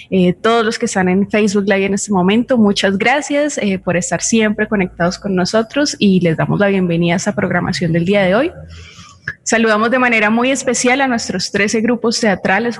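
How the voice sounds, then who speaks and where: A woman with a Colombian accent co-hosting a live online show, giving greetings and reading out listeners' messages. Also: mid-range voice. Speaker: co-host handling audience messages.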